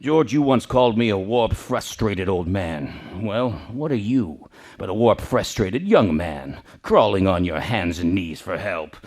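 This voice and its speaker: A man's voice: lowish voice, lively intonation, a little mellow. An actor playing a cold, scornful old banker who taunts a desperate man in a radio play. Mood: contemptuous. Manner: sneering, slow and cutting.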